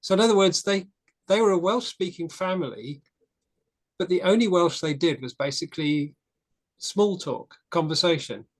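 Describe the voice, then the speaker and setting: A man's voice: high-pitched, lively intonation, moderate pace. A man in a casual church discussion group, talking frankly and telling a story with restarts.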